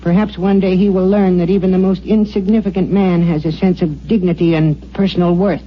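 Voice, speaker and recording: A self-important little professor, high in pitch and very formal. He speaks with careful dignity in a dull-sounding vintage radio drama. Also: fairly even intonation, a little fast. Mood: wounded dignity. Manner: formal, pompous, precise. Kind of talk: 1950s radio drama acting. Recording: muffled old radio recording.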